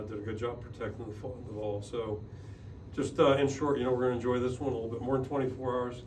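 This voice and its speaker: An older man, a head football coach, answering press questions at a podium in a steady, plain-spoken way with many fillers and restarts. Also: medium pitch. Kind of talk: postgame press conference answers. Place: press room in front of a logo backdrop. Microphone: podium microphone. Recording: roomy, slightly distant, noisy.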